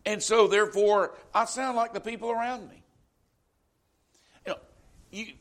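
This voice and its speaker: Male pastor, Southern US accent, middle-aged, slightly higher voice, folksy conversational sermon delivery. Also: steady tone, measured pace, fairly bright tone. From Florida, US. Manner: conversational preaching with frequent "you know".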